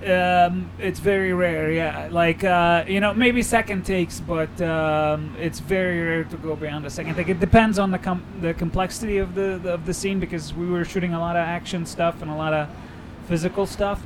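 A man's voice: high voice, steady tone, normal speed, background noise. A man from Egypt, a guest actor speaking hesitantly in casual interview chat, with many ums and restarts. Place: outdoors on a busy street.

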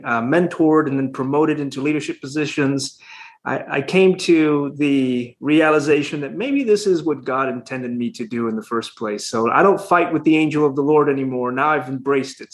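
A man from Honduras, an educator speaking as an interview guest in a thoughtful, measured way with frequent hesitations. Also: mid-range voice. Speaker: classical school educator and guest. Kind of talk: conversational interview answers.

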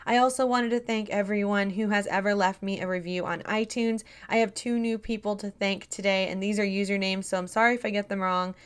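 A woman hosting a podcast, her voice a little raspy and tired, talking in a friendly, chatty and earnest way. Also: medium pitch, fairly even intonation, fairly quick, few pauses. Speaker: podcast host. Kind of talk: scripted podcast narration.

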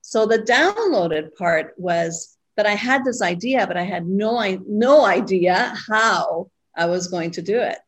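A middle-aged woman guest, born in Costa Rica, telling her story in an interview with many pauses and fillers. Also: mid-range voice, expressive. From Costa Rica, raised abroad. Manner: reflective, pausing with fillers. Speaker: guest, retreat owner.

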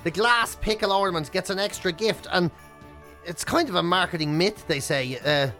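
High-pitched Irish man, dry radio banter. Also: normal speed, sharp tone, faint music behind. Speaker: radio breakfast show co-host. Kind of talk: casual comic banter. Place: radio studio.

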